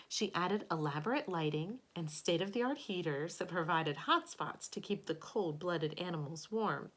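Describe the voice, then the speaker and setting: A woman's voice: medium pitch, a bit bright. Woman reading a children's story aloud expressively. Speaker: teacher reading a picture book to children.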